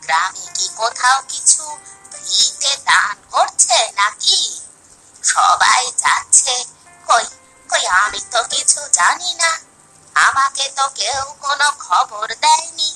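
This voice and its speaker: A woman's voice: sits high, expressive, slow, pushed and bright, soft background music, heavily acted. A woman playing an elderly, poor village mother in a cartoon story, fretful and protective, full of folksy questions and exclamations. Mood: curious, puzzled. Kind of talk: Bengali animated moral story acting.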